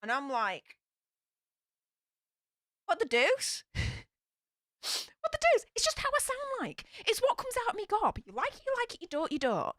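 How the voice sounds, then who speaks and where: A woman's voice: extremely high pitch, fairly bright tone, dry recording, clean studio sound. A woman with a broad Yorkshire accent hosting a casual live stream, reading out chat comments in a blunt, feisty way. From Yorkshire, England.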